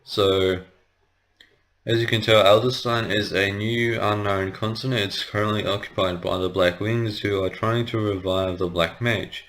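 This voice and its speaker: A man's voice: on the low side, fairly even intonation, unhurried, a bit bright. A man giving a casual, rambling let's play commentary, hesitant and often correcting himself as he explains. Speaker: gaming video host.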